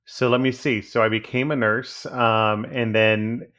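A man, a hospice nurse chatting as a podcast guest in an easygoing, halting way with lots of fillers. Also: mid-range voice, little pitch movement, fairly bright tone. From Texas. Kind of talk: casual podcast interview.

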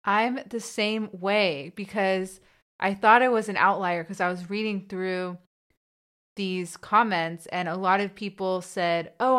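A woman's voice: on the low side, a bit bright, clean tone. A young woman co-hosting a casual talk show, speaking candidly about her own stutter, laughing often and sometimes repeating sounds. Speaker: co-host, person who stutters. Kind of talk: casual podcast chat.

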